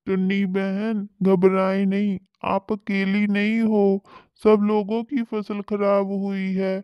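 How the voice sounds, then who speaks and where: Woman as a clear, steady brotherly cartoon bird. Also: medium pitch, slow, no echo, dull audio, pitched below the performer's own voice, close to an everyday voice. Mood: concerned. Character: concerned, brotherly male bird neighbour. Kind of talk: children's cartoon dialogue.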